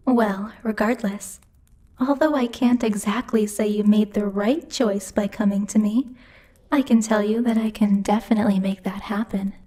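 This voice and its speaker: A woman's voice: a little high, warm tone. A woman voicing a bossy, teasing female demon who rules hell, speaking in a haughty, playfully menacing ASMR roleplay style. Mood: composed, gracious. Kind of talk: ASMR roleplay, intimate scripted monologue to the listener.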